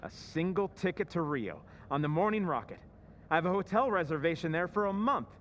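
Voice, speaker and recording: Guy doing a giddy husband with a secret, sly and whispery, voice jumping high. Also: big pitch swings, fairly quick, fairly bright tone, a little hiss or hum. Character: timid husband with a secret scheme. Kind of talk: old-time radio drama acting.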